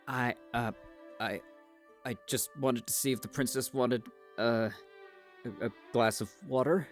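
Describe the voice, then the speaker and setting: An actor playing a nervous, sheepish guard who stammers hesitantly in an audio drama. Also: male voice, mid-range voice, fairly even intonation, unhurried, faint music behind, close to an everyday voice.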